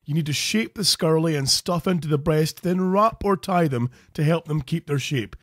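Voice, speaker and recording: A man's voice: fairly high pitch, normal speed, no room sound, studio quality. A Scottish man hosting a crude comic cooking show, narrating recipes with jokes. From Scotland. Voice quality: crude jokey, animated delivery. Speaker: comedy cooking show host.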